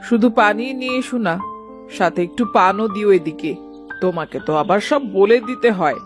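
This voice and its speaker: A woman's voice: mid-range voice, animated, moderate pace, over music, lightly acted. A woman playing an older village mother-in-law in a cartoon, bossy, carping and full of self-pity. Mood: snappish. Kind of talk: dramatised cartoon dialogue.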